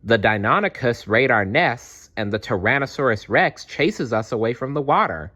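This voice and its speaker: A man's voice: medium pitch, animated, moderate pace, sharp tone, dull audio. A man voicing a cautious but loyal little girl dinosaur in a storybook, childlike, chatty and earnest. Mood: worried.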